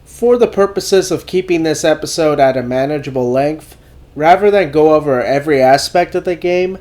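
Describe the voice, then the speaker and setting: A man's voice: a little high, normal speed, a little mellow. Man with a cold narrating a review. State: recovering from a cold. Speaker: video essay host.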